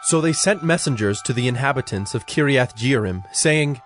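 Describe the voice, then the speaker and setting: A man narrating scripture from a script in a measured, solemn storytelling manner. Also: medium pitch, expressive, fairly bright tone, faint music behind.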